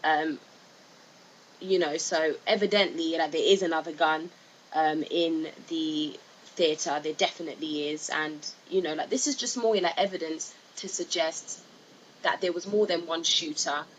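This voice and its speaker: A woman's voice: low voice, a bit bright. A woman giving informal, hesitant opinion commentary as a video narrator, repeating herself with lots of filler phrases. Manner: hesitant, repetitive, frequent "you know" and "like". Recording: noisy amateur voice-over with clear hiss.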